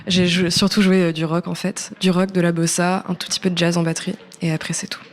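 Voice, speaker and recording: A woman's voice: fairly low pitch, level tone, fast talker. A woman in her early twenties, a native English speaker, chatting casually in a radio interview, hesitant and informal, often trailing off. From born in New York, French-American. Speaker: musician, singer guest.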